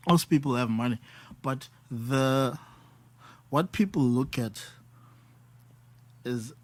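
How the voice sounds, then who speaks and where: A man's voice: medium pitch, dry recording. A man in his thirties hosting a casual talk, emphatic and switching between English and isiXhosa. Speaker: podcast host. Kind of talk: casual conversation.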